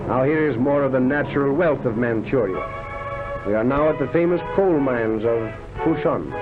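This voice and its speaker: A man delivering scripted 1930s newsreel-style documentary narration in a declamatory, florid showman's manner. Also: fairly high pitch. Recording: tinny band-limited vintage film soundtrack, loud music. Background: loud background music.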